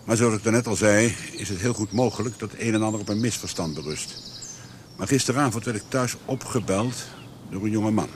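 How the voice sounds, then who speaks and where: An actor playing a courteous, methodical police commissioner in a Dutch radio play, asking questions politely and carefully. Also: male voice, fairly low pitch, fairly quick, noisy background, natural voice. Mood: earnest, careful.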